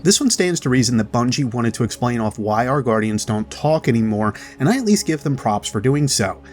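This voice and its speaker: A man's voice: medium pitch, lively intonation, brisk. A man hosting a video, giving chatty, explanatory commentary as a voice-over about game lore. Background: music.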